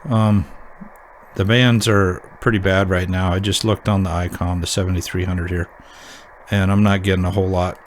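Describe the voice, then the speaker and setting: A man's voice: low-pitched, flat delivery, normal speed, slight background noise. A man hosting a hobby video, explaining and demonstrating gear in a casual, rambling way as he thinks aloud. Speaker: host of an amateur radio hobby channel.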